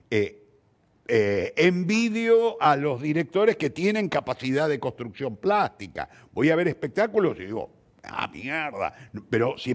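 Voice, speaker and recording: Older Argentine man, reflective interviewee. Slightly high pitch, animated intonation, emphatic rephrasing. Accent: Argentine Spanish. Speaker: interviewed theatre director and teacher.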